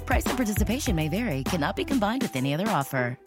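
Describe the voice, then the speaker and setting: A woman's voice: medium pitch, big pitch swings, very fast. A woman reading scripted promotional copy as a commercial announcer. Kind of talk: scripted promotional read with rapid disclaimer. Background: loud music bed.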